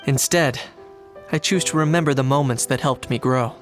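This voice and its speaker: A man voicing a composed, blunt, principled young knight in a video game, speaking in a measured, matter-of-fact way. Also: medium pitch, natural voice. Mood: calm, resolute. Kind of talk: scripted game dialogue. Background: music.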